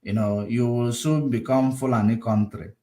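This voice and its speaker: A man hosting a political livestream, combative and repetitive, swinging between loud outbursts and low muttering. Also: steady tone, normal speed. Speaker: livestream host. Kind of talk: casual political commentary livestream.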